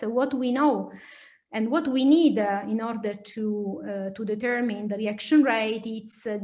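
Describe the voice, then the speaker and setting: Italian-accented woman lecturing, halting delivery. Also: fairly high pitch, a little mellow. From Italy. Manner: hesitant, halting explanations with many pauses. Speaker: lecturer, nuclear physicist. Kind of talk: academic lecture and question answering.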